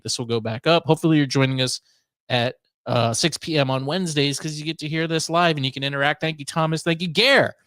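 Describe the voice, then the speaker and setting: A man's voice: medium pitch, fairly bright tone, dry recording, studio quality. An American man hosting a casual talk radio show, speaking in an easygoing, informal way.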